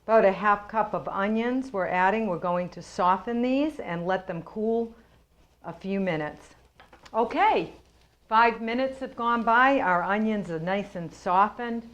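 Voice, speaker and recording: A woman's voice: medium pitch, measured pace, dull audio. Chatty woman hosting a home cooking show. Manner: chatty, homey, explains each step. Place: home kitchen.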